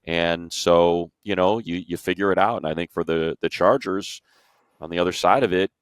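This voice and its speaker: A man with a very low voice, recorded close and dry. He talks sports casually and informally as a commentator, letting his analysis run on in long conversational stretches. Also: normal speed, clear, resonant tone, no echo. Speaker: sports radio commentator and show panelist.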